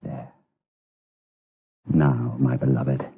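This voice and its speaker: An actor playing a lustful, guilt-tormented monk in a gothic radio drama, with breathy, theatrical, impassioned delivery. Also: male voice, extremely low pitch, level tone, extremely slow, full character voice. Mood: amorous longing. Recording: tinny, phone-like radio drama recording.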